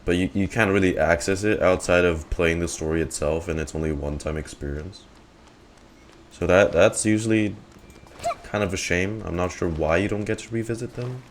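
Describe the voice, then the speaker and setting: A man's voice: low voice, normal speed, slight background noise. A man giving casual, rambling livestream commentary on a game, thinking aloud in trailing half-sentences. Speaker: game streamer.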